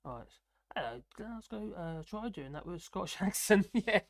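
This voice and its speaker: A man from the UK with a fairly high voice, hosting a live stream and rambling casually through viewer comments with frequent chuckles. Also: lively intonation, moderate pace. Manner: rambling, self-deprecating, chuckling often.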